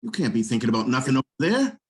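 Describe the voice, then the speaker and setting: A man's voice: mid-range voice, sing-song, very fast. A man playing a protective, sensible young best friend with an earnest manner in a dramatic script read. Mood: alarmed.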